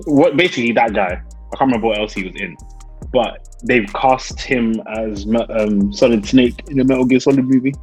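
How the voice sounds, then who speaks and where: A man's voice: mid-range voice, a little fast, faint music behind. A man co-hosting a casual chat, speaking a little hesitantly and repeating words as he goes. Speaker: podcast co-host. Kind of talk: casual chat among friends.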